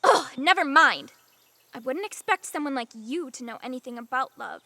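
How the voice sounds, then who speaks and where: A woman's voice: high voice, animated, normal speed, bright, forceful tone, exaggerated voice. A woman playing a headstrong young woman in love in a radio drama, emphatic and defiant.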